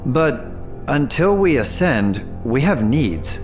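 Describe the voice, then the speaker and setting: Older senator type, pushy and practical, normal pitch, audio drama with faint music. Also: male voice, sing-song, slow pace. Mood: pressing, skeptical. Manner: probing, businesslike. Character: pragmatic, insistent older male senator.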